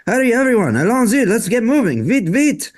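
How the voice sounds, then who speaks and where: Man playing an animated, excitable Frenchman. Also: very high voice, fairly quick. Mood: urgent, excited. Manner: exclaims in French phrases, urging others along. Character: excitable, courteous Frenchman, affectionate and eager. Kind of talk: table read of a script.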